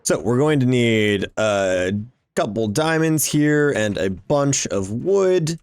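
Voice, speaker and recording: Animated man doing casual game commentary. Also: medium pitch, takes it slowly, smooth, no room sound, clean studio sound. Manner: animated, exclaiming, talking to himself. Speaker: gaming YouTuber hosting a Minecraft series.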